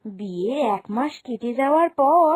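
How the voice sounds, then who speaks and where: A woman's voice: high-pitched, animated, a little slow, husky. A woman storyteller reading scripted narration for a cartoon moral tale.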